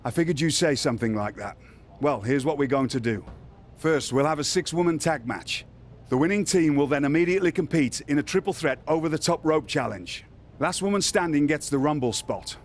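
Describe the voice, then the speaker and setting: A man playing a businesslike wrestling boss in a game cutscene. He talks matter-of-factly in a slightly high male voice, with faint noise behind him. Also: expressive, fairly quick, natural voice. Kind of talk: conversational game cutscene dialogue.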